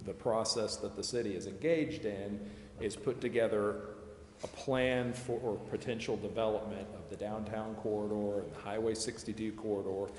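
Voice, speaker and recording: An American man explaining policy at a public meeting in a measured, halting way. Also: lowish voice, a little mellow. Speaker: advisor explaining city tax district plans. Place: council chambers. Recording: echoey council chamber, background hiss.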